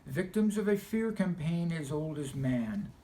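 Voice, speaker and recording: A middle-aged man from Los Angeles with an American accent, delivering a scripted personal monologue in deliberate, emphatic, short measured phrases. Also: a little high, very animated, mellow, slight background noise. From Los Angeles, United States. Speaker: video essayist telling personal views.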